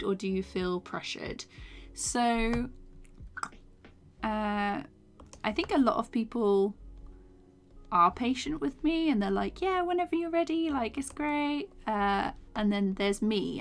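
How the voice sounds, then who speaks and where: Chatty young woman, tired voice. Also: fairly high pitch. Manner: chatty, laughs often, trails off into soft mumbles while working. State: voice tired from talking all day. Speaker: animation streamer. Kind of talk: casual chat while animating on stream. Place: small home room, indoors. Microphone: desk microphone with foam cover.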